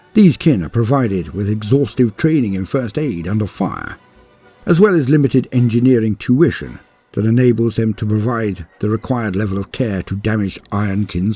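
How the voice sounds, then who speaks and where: A man's voice: fairly low pitch, warm tone, husky. A man narrating scripted lore as a channel host, theatrically, with grandiose and formal storyteller phrasing.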